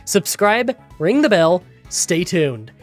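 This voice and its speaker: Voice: medium pitch, sing-song, slow pace, soft background music. Nasal, congested gaming YouTuber. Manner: chatty, rambling storytelling. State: congested from a cold. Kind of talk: game challenge voiceover recap. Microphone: close voiceover mic.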